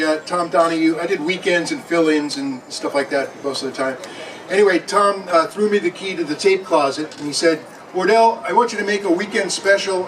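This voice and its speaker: A man's voice: on the high side, a bit bright. A man in his sixties, a former radio DJ, telling an anecdote to an event audience. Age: 60s. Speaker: former radio DJ, panel guest. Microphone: event microphone. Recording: distant event mic, faint noise.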